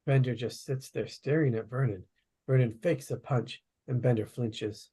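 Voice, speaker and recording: Mellow, husky man reading stage directions. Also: medium pitch, steady tone, normal speed. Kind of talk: plain narration at a table read.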